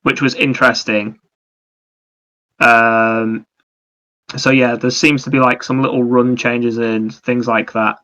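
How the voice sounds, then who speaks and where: Bright-voiced man on a dull lo-fi mic. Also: medium pitch, level tone, slow, pauses often. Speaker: podcast host. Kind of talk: casual chat about video games. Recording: dull, lo-fi microphone sound.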